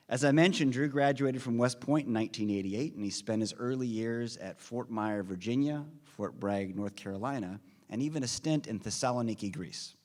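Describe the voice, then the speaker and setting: A man giving an earnest, prepared introduction of a guest speaker at a conference forum, in a measured delivery. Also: mid-range voice. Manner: earnest, measured delivery, reads off names and figures. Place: large conference hall. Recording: long reverb of a large hall.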